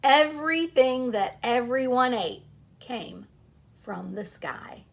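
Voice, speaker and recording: A woman reading a children's picture book aloud, pausing between short phrases and speaking directly to young listeners. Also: on the high side, expressive, vocal fry.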